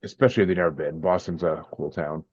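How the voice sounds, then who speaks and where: A man's voice: extremely low pitch, steady tone, fast talker, darkish tone, husky, no echo, lo-fi sound. A man from Massachusetts, a podcast guest, chatting in a laid-back, hesitant way and often repeating his words. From Massachusetts, USA. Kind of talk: casual interview chat.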